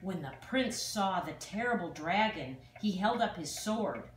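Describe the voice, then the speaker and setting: An older woman reads a children's fairy tale aloud, with expressive, dramatic emphasis on exclamations. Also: on the low side, unhurried. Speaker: storyteller. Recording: distant, echoey room with faint noise.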